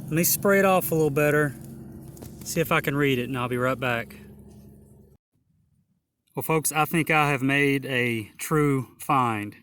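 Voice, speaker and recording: A man narrating a metal detecting hunt outdoors in a field, hoarse and awed, talking slowly with many exclamations. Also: mid-range voice, fairly bright tone. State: hoarse, losing his voice. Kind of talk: casual outdoor narration to camera.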